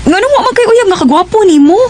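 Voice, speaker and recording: A woman's voice: very high voice, lively intonation, fast, a little mellow, a little hiss or hum. A woman playing a shy, playful schoolgirl in a Cebuano radio drama, with a Cebuano Filipino accent. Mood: curious, teasing. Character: shy, playful teenage girl student being courted.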